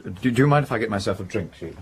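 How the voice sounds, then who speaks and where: An actor playing an uneasy young gentleman in a radio drama, guarded, hesitant and evasive, with a husky voice. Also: fairly low pitch, lively intonation, rapid-fire.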